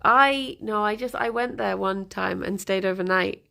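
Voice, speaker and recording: A woman's voice: mid-range voice, a little slow, gravelly, pushed and bright. A woman streaming live, chatting casually with her viewers and answering their messages in a rambling, giggly way. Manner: chatty and rambling, laughs often. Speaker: streamer reading chat.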